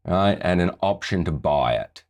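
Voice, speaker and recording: A very deep-voiced man teaches music in a loose, chatty way. He talks through each step of a screen-share tutorial and keeps checking in with the viewer. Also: unhurried. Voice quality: low voice. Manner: chatty, relaxed, often checks in with "right?".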